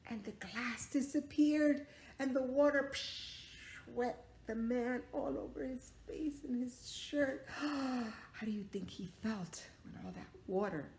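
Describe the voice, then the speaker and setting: A woman's voice: a little high, slow pace, darkish tone. A woman telling a story to young children like a teacher, encouraging them with questions and acting out little sound effects. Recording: roomy echo, clear background noise.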